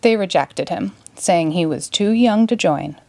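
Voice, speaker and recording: A woman narrating a children's story in a clear, expressive read-aloud manner. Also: lowish voice, a little slow, no echo. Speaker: storybook reader.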